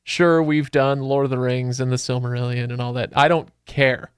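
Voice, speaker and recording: A man's voice: mid-range voice, little pitch movement, normal speed, smooth, no room sound, studio quality. A man hosting a casual, jokey panel podcast, teasing his guests in a playful, mock-formal way. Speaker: podcast host.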